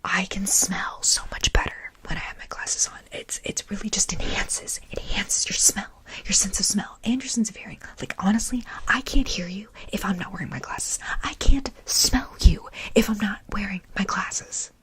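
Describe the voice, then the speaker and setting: A young woman whispering close to the mic in a playful, rambling ASMR style, repeating words in soft rhythmic loops. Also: mid-range voice, moderate pace, few pauses. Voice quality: breathy unvoiced whisper. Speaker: ASMR creator. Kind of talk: ASMR whispering, deliberately silly wrong explanations.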